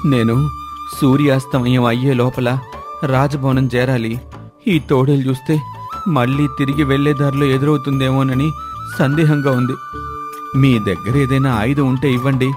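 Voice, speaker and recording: A man playing an earnest young villager who pleads urgently in an animated moral story. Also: mid-range voice, normal speed, long pauses, over music, natural voice. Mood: worried, urgent.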